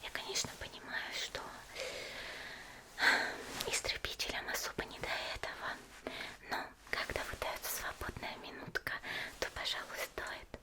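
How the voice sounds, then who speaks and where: A fully whispered, breathy female voice of a woman acting as a cheerful young demon slayer in an ASMR spa roleplay. She is gentle and caring, chatting softly and unhurriedly with small hesitations, as if pampering the listener. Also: moderate pace, pauses often, natural voice. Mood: gentle, explanatory.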